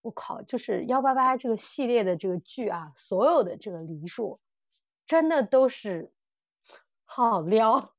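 A high-voiced woman hosts a casual fan podcast. She talks in an excited, gushing way, full of exclamations. The sound is close and dry. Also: a little slow, dry recording, lo-fi sound. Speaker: podcast host. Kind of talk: casual fan chat about audio dramas.

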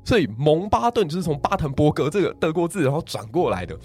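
A man with a Taiwanese Mandarin accent tells a royal history story on a podcast at a brisk clip. His pitch swings widely, and he keeps turning to his listeners with rhetorical questions. Soft music plays faintly underneath. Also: husky. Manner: animated, asks the audience rhetorical questions.

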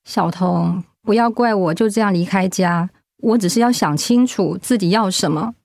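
A woman's voice: medium pitch, normal speed, creaky, darkish tone, no echo, studio quality, lightly acted. An actress playing a loving but resolute middle-aged mother in a radio drama. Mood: apologetic, resolute.

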